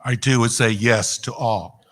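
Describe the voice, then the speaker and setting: A middle-aged man answering a debate question as a candidate, emphatic and deliberate, restating his points. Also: medium pitch, fairly even intonation, a bit bright. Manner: emphatic, deliberate, repeats himself. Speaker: judicial candidate.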